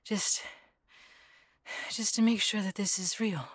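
Brief: woman acting a clingy yandere girl, unhurried, stammers, breathy whispers, dry close sound. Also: medium pitch, fairly even intonation, no echo. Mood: giddy. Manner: stammering, often soft and whispery. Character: clingy lovestruck young woman coworker, obsessive. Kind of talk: audio roleplay acting.

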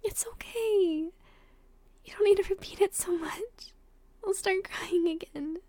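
A woman playing a tender, emotional young girlfriend in an intimate roleplay audio drama, speaking softly and breathily, often in whispers, with affection. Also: very high-pitched, a little slow, no room sound. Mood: tearful, soothing.

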